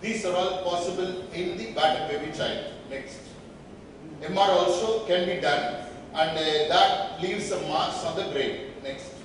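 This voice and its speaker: An older man with an Indian accent gives a medical slide lecture, listing points in short, halting phrases. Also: high-pitched. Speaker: radiologist giving a medical lecture. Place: indoor meeting hall at a podium. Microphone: distant camera microphone. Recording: echoey hall from far off, noisy.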